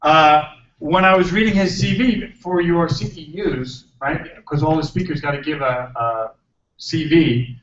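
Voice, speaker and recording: A man's voice: fairly high pitch, a little slow, a bit bright. Hyped man introducing a speaker in an echoey hall. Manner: jokey, hyped, talks to the crowd. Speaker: event host introducing a speaker. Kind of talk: playful stage introduction. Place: event hall. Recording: echoey room, distant mic, dull sound.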